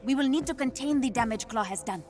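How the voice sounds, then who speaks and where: A woman's voice: sits high, natural voice. Woman acting a confident African princess over music. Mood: brisk, businesslike. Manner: brisk, self-assured, precise. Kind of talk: cinematic video game acting. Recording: prominent background music.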